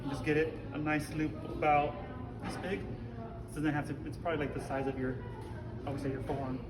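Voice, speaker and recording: A man's voice: a little high, a little fast. A young man giving a casual hands-on tutorial in an informal, reassuring, plain-spoken way, recorded on a phone in a large indoor hall. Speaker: instructor. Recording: steady background noise, room ambience.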